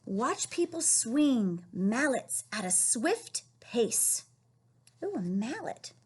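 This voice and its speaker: Female children's storyteller, high and breathy, expressive, encouraging, asks questions as she reads. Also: slow pace. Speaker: read-aloud storyteller teaching young children. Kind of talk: picture-book read-aloud with questions to children.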